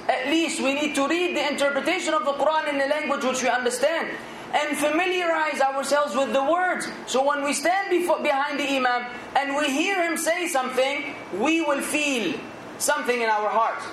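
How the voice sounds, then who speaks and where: A man's voice: extremely high pitch, moderate pace, a bit bright, echoey room, a little hiss or hum, rough recording. A man giving an earnest Islamic sermon, exhorting his listeners and now and then rising to emphatic outbursts. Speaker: Islamic preacher.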